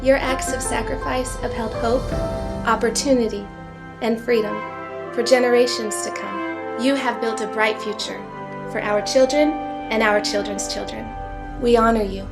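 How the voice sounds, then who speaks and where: Gravelly woman reading a tribute over music. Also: on the high side, steady tone, normal speed. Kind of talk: solemn scripted tribute reading.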